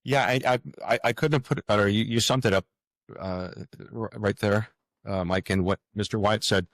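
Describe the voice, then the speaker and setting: Older guy, deep voice, bit halting n repeats words, earnest interview guest, dry close sound. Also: fairly even intonation, dry recording. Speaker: guest author.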